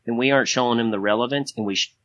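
A man's voice: mid-range voice, racing, a bit bright, highs cut off. A middle-aged man in education talking casually on a podcast, opinionated and driving points home with repetition. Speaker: high school educator.

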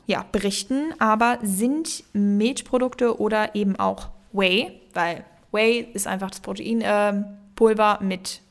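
A woman's voice: mid-range voice, a little slow, hardly any pauses. Chatty young woman, rambling podcast talk. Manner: rambling, many fillers, drops English words into German. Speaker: podcast co-host. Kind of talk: casual podcast chat.

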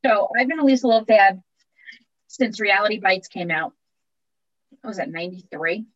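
A woman's voice: mid-range voice, expressive, moderate pace, dry recording. Podcast co-host: woman, 40s, Long Island accent, chatty, laughs a lot, dry and muffled sound. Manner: chatty, laughs often, trailing restarts. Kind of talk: casual chat.

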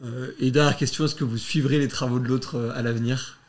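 A man's voice: mid-range voice, steady tone, a little fast. A man hosting a debate show, asking winding questions and wrapping up with an upbeat sign-off. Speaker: show host.

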